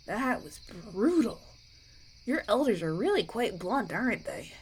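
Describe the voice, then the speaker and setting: A woman voicing a kind, grateful young prince in a roleplay audio, speaking in a gentle, hesitant, conversational way. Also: mid-range voice, big pitch swings, unhurried, a little hiss or hum. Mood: weary, appreciative.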